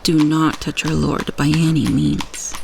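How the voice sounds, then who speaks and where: A woman playing a loyal, solemn royal handmaiden in a fantasy audio roleplay, speaking gravely in formal, archaic phrasing. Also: sits low, fairly even intonation, a little slow, noisy background. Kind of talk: fantasy ASMR roleplay acting.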